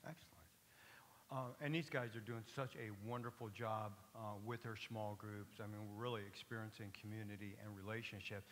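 A man's voice: lowish voice, fairly even intonation, fairly quick, fairly bright tone. A man moderating a church panel, upbeat and joking as he puts questions to the guests. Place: church stage, large hall. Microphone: handheld mic. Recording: reverberant hall with faint hiss.

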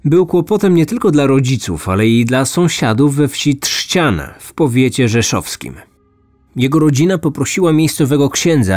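A man tells a scripted true-crime story as a podcast host. His voice sits at an average pitch, with music running underneath. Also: darkish tone, no echo.

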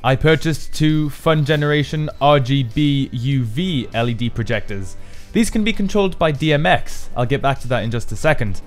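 Male maker, mid-pitched, scripted explainer narration for a build video, music underneath. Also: animated, moderate pace.

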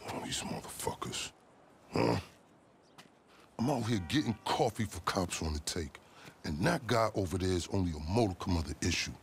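A man playing a calculating police officer in a video game cutscene, speaking deliberately in formal, wordy phrases with some swearing. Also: low voice, a little hiss or hum. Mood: resentful, conspiratorial. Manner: deliberate, verbose, formal vocabulary mixed with swearing. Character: smooth, calculating rookie cop with a grudge against corruption.

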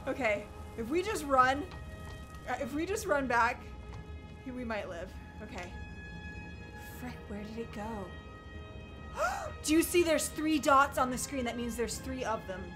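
Young woman streamer, jumpy and excitable, high-ish voice over loud game music. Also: a little slow, pauses often. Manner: jittery, excitable, swings from loud yelps to hushed muttering. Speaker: gamer. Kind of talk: let's play commentary. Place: small room indoors. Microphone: headset.

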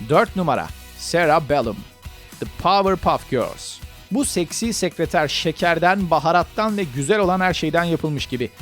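A man's voice: fairly high pitch, animated, normal speed, a bit bright, soft background music. A man reading scripted narration as the narrator of a countdown video.

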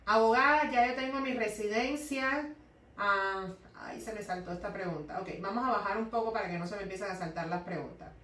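A woman's voice: mid-range voice, a bit bright. Cuban woman lawyer in roomy office. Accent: Cuban Spanish. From Cuba. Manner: explanatory, reads questions aloud, mixes in English words. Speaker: immigration lawyer. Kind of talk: live Q&A, answering viewer questions. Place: law office, indoors. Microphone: webcam at desk distance. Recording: echoey office, voice a bit far from mic.

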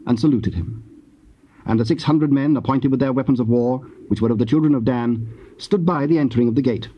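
A man narrating scripture as a measured, formal scripted reading. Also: mid-range voice, breathy edge. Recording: dull old cassette audio.

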